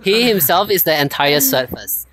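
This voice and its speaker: A man hosting a casual chat stream in an animated, rambling way with frequent laughs and a non-native accent. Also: on the high side, moderate pace. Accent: non-native, unnamed. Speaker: streamer host.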